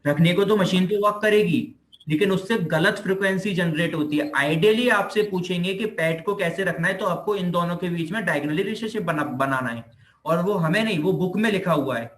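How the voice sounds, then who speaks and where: A man's voice: high voice, moderate pace, a bit bright. A man with an Indian accent giving an informal explanatory lecture as a physiotherapy teacher, repeating himself and checking that students follow. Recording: roomy echo on the voice.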